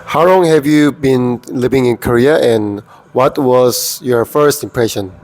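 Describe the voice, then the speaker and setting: A man's voice: mid-range voice, unhurried, a little mellow. A man interviewing a guest with short, plain questions.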